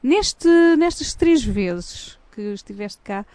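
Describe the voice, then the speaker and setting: A woman's voice: medium pitch, very animated, takes it slowly, a little mellow, dry recording. A woman hosting a casual, playful chat outdoors by a windmill, teasing her guest as she asks about her life. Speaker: host.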